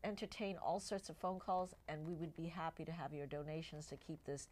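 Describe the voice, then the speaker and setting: A woman's voice: low voice, fairly even intonation, a little fast, darkish tone. A middle-aged woman whose first language is Cantonese, hosting a health talk show and chatting with her guests, often asking them to agree. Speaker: eye doctor and show host. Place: TV studio.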